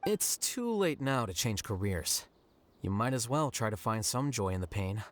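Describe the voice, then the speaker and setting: Deadpan low-voiced scholar. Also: male voice, normal speed, no echo. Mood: blunt, sardonic. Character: composed, blunt, dry-witted male scholar. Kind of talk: video game voice acting.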